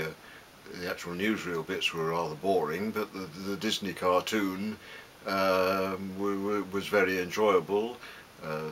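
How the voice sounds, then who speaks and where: A British man in his seventies reminiscing in an interview, speaking haltingly with many hesitant pauses. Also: low-pitched. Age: 70s. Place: small book-lined room at home. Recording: steady background hiss.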